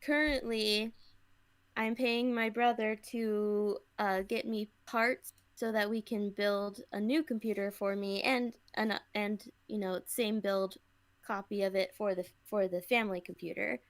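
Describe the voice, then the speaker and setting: A woman's voice: mid-range voice, steady tone, measured pace, no room sound. A young woman chatting casually on a podcast.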